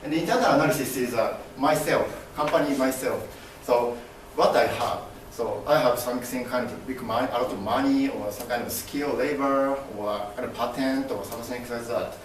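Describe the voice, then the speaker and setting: Young man, Japanese accent, mid-pitched, presenting in class; halting with fillers, recorded by a far camera in a very echoey room. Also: slight background noise. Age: young adult. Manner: halting, many fillers and restarts, gestures broadly. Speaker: MBA student presenter. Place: classroom with projector screen.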